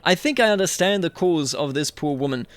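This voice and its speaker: Male game commentator, slightly high voice, close dry sound, muses through decisions aloud in roleplay style. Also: expressive, dry recording. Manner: thinks aloud, musing and deliberate. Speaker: host of a strategy game roleplay series.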